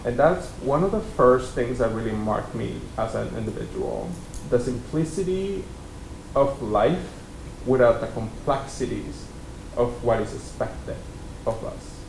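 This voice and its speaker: A man in his thirties from Mexico, speaking as a keynote speaker to students in a conversational way, with frequent ums and uhs. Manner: conversational, many ums and uhs, gestures with hands. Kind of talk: personal story talk to students. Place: college lecture room, seated at a panel table. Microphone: desk mic on stand. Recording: roomy hall sound with faint rumble.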